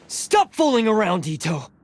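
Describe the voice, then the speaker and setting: An actor playing a proud, brooding oni in an English-dubbed anime game, speaking with earnest dramatic intensity. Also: male voice, high-pitched, gravelly, a little hiss or hum, heavily acted. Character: proud, brooding young male oni, bitterly self-sacrificing.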